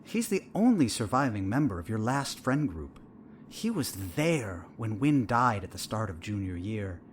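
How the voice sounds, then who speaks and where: A man's voice: fairly low pitch, very animated, moderate pace, a little hiss or hum. A man playing a smug, all-knowing therapist in an audio drama, asking leading questions in an insinuating, theatrical manner. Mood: clinical, probing. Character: probing, needling male therapist, smug and eerily all-knowing. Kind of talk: audio fiction dialogue.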